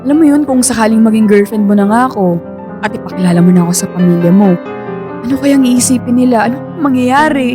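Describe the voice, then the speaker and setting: A woman's voice: a little high, animated, fast, over music, close to an everyday voice. An actress playing a shy, self-doubting young woman, hesitant, in a Filipino radio drama. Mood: doubtful, worried. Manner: hesitant, insecure.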